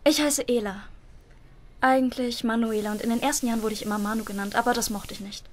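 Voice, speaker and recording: An actress plays a frank young woman from a wealthy home in a radio play. Her voice is fairly high, phrased in short measured pieces, with slight ambience behind. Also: fairly even intonation, natural voice. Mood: frank, slightly nervous. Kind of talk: audio drama acting. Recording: faint noise and room ambience.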